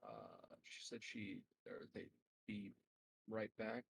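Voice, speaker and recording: A man's voice: fairly low pitch, huge pitch swings, slow, gravelly, husky, no room sound. A man talking casually as a player in a tabletop game.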